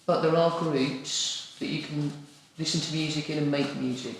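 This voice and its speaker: Male actor for gently probing assessment doctor: deliberate, fairly high, creaky voice, reverberant room. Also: steady tone, close to an everyday voice. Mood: gentle, explanatory. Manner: careful, deliberate questioning. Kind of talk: acted clinical interview. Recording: echoey room, faint noise.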